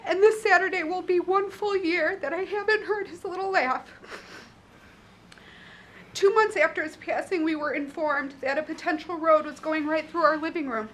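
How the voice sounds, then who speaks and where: High-voiced Chicago woman at podium. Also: moderate pace, a bit bright, slight background noise. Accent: Northern US (Chicago). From Chicago, USA. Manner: earnest, emotional. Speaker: public commenter. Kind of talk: prepared public statement. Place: county courtroom. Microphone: podium mic.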